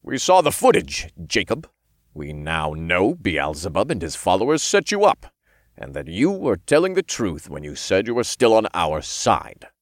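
A smug male archangel acted by a man speaks with formal, measured condescension. His stern negotiator's voice has a wide melodic range, recorded close and dry for a fantasy audiobook. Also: low voice, wildly swooping pitch, dry recording.

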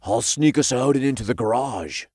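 A man's voice: mid-range voice, animated, normal speed, darkish tone, husky, dry recording, clean studio sound, voice pushed up. A man voicing a brash, theatrical, self-important imp in an audiobook story. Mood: bossy. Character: cocky, slangy male imp, self-important sidekick.

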